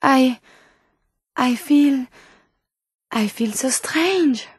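A woman's voice: sits high, very slow, slightly rough, no room sound, clean studio sound, heavily acted. A woman with a French accent voicing a polite, earnest female robot scientist in a video game, speaking formally and precisely. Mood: bewildered. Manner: formal, precise, courteous phrasing without contractions. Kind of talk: video game character dialogue.

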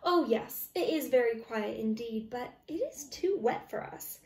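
A woman's voice: a little high, expressive, a little slow, a little mellow. A woman voicing an eager, fussy city fox in a children's storybook, with emphatic, exclaiming delivery. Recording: roomy, echoey, distant microphone.

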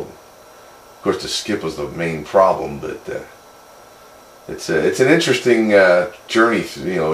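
A man chatting casually as a hobby radio operator, explaining things in a rambling, halting way. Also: sits low. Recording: roomy shack with steady background noise.

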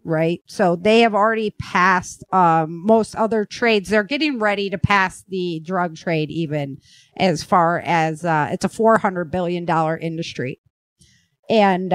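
A woman speaks as a podcast host at a slow, unhurried pace, in a close, dry recording. Her delivery is informal and slightly halting, and she leans on words for emphasis. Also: medium pitch, no echo. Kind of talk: casual podcast chat.

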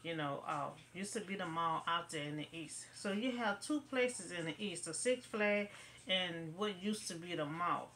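A woman's voice: lowish voice, moderate pace, fairly bright tone, slight background noise. A middle-aged woman talks casually to a webcam in her bedroom about local news, rambling and filling her sentences with "you know.". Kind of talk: casual commentary to camera. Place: bedroom at home, indoors. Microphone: webcam in front of her.

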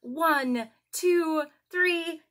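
A woman's voice: very high voice, drawn-out words, fairly bright tone. A woman in her thirties presenting a children's story time, talking straight to young viewers with exaggerated, encouraging questions. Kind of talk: children's lesson, addressing young viewers directly. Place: small indoor room at home.

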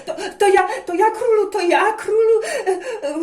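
A woman's voice: extremely high pitch, unhurried, very noisy, voice pushed up. A woman voicing a frightened messenger who stammers and quavers. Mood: terrified. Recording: echoey room.

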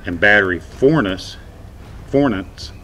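An older man presenting scripted history to camera, speaking in measured short phrases outdoors. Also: fairly low pitch, animated, bright, forceful tone, a little hiss or hum. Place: outdoors among old concrete fort ruins.

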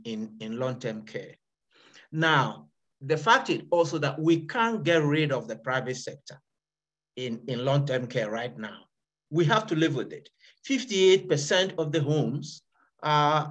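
A man's voice: mid-range voice, fairly bright tone. A middle-aged man on a video call, stating his view firmly and often repeating words. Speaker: town hall participant. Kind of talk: panel discussion. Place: home room.

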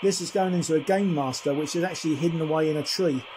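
A man chatting casually and at some length as he demonstrates a CB radio, in a friendly, slightly husky voice. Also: a little high, quick pace, background noise. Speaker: CB radio reviewer and operator. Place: indoors at a home radio desk.

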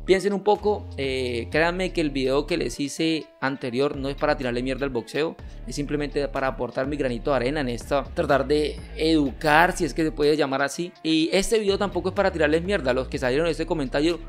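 A young man in his twenties, an olympic wrestler hosting his channel, reacting to viewer comments with emphatic, gesturing argument from a home setup on a boom mic. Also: brisk, few pauses, soft background music. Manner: emphatic, gestures a lot while arguing. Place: home streaming room with gaming chair. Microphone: studio mic on boom arm, headset.

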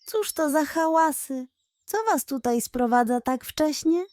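A woman voicing a wise female owl in a children's bedtime story, calm, measured and patient. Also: sits high, dry recording, pitched above the performer's own voice. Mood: mildly disturbed.

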